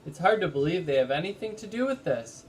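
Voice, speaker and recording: A gentle, trusting girl in a storybook mystery, voiced by a man reader. The pitch is a little raised. Faint noise runs behind the reading. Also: sing-song, fast talker. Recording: noticeable background noise.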